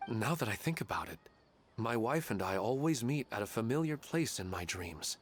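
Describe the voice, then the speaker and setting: A man's voice: on the low side, very animated, moderate pace, slight background noise. A man playing a grieving widowed father in a video game, polite, reflective and hesitant. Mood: wistful, reflective.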